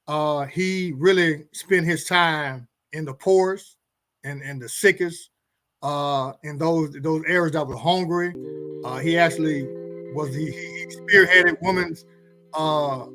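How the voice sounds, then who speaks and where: High-voiced, bright male pastor. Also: fairly even intonation, unhurried. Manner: informal, colloquial, preacherly. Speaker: pastor, guest co-host. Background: music.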